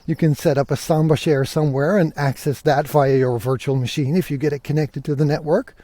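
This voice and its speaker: A man with a slightly high, mellow voice hosts a podcast in a casual, chatty way. He talks as if thinking aloud, asking himself questions. The sound is dry and close. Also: steady tone, a little fast, no room sound. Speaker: podcast host. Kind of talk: casual, conversational tech podcast monologue.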